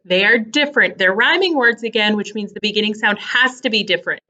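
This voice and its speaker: Bright-voiced woman teaching young children. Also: mid-range voice, animated, highs cut off. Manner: deliberate, sounds out words in separated pieces; instructive, repeats questions and checks understanding. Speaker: early elementary teacher. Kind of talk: phonics lesson addressed to young children.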